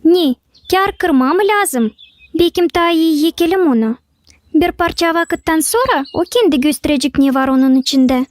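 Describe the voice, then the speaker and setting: A woman voicing a cheerful young cartoon character who explains things eagerly and briskly. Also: very high-pitched, lively intonation. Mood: eager, instructive. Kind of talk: animated cartoon acting with call-and-response chanting. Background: music.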